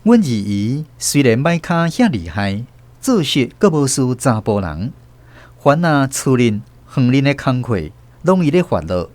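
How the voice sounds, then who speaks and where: A man with a Taiwanese accent giving expressive scripted narration of a literary story for radio drama. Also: medium pitch, no echo.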